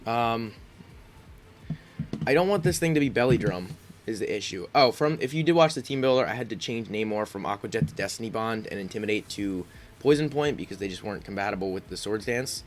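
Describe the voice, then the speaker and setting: A man's voice: lowish voice, animated, fairly quick, a little hiss or hum. A congested man giving casual commentary on his own game, thinking aloud with frequent ums and restarts. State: congested, sniffling. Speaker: gaming YouTuber.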